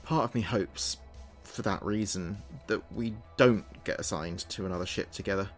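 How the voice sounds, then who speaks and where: A man playing an earnest spaceship communications officer, speaking his log in a measured, precise way for a sci-fi audio drama. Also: lowish voice, faint music behind, natural voice. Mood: tender, wistful.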